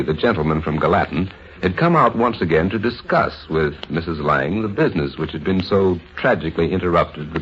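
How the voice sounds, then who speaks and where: Low, dramatic American male radio narrator. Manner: suspenseful, dramatic, measured storytelling. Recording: dull vintage radio, faint music behind.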